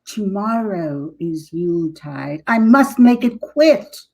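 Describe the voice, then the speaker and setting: A woman playing a grumbling, hateful, scheming witch in a live reading of comic verse, with slow, deliberate delivery. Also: medium pitch, sing-song, a little mellow, exaggerated voice.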